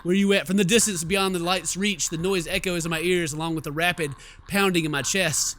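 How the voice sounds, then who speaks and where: A man's voice: high-pitched, fairly even intonation, a little fast, a bit bright. A man doing playful, theatrical let's play commentary, exclaiming and reading game text aloud into a close mic. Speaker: gaming video host. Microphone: close microphone at a desk. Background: faint game music.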